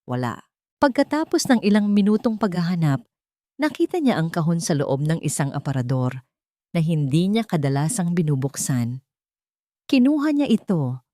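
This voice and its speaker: A woman's voice: on the low side, sing-song, normal speed, dark tone, dry recording, clean studio sound. A woman storyteller reading a scripted dramatic story.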